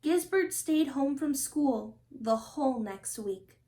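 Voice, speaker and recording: A woman narrating a children's picture book aloud in an expressive, deliberate storytelling manner. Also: high voice. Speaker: storybook reader.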